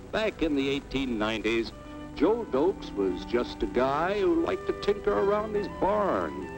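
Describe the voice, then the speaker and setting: A man's voice: medium pitch, low-quality recording. A man playing a plain-spoken American everyman, narrating in a folksy, persuasive way for a 1950s educational cartoon. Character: plain-spoken, level-headed everyman citizen, folksy storyteller. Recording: vintage film soundtrack, music behind.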